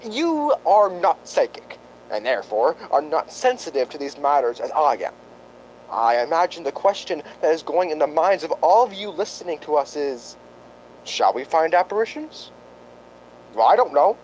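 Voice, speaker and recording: Solemn ghost-hunting doctor, animated higher voice man acting. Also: moderate pace, a little hiss or hum, low-quality recording. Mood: ominous. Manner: formal, pedantic, grave. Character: solemn, earnest male ghost-hunting doctor, psychically sensitive. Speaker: paranormal investigator. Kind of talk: amateur radio drama acting.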